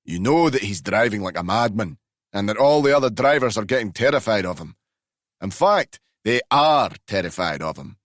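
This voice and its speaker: A man voicing a wizened old transporter driver with a Glasgow accent, loud and indignant. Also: lowish voice, lively intonation, moderate pace, no echo, studio quality. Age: older.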